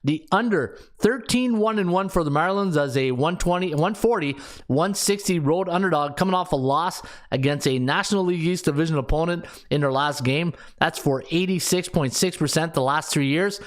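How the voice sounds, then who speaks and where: A man's voice: a little high, normal speed. A man in his fifties hosting a sports betting podcast, breezy and jokey as he rattles off stats with plenty of ums and uhs. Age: 50s. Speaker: podcast host and sports handicapper.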